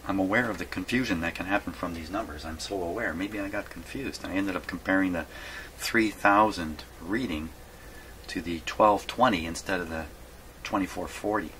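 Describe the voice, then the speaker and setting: Man, low-pitched voice, casual demonstrator, rambling and self-questioning, noisy small workshop. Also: sharp tone. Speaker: presenter of radio repair videos. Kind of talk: casual hands-on demonstration, thinking aloud.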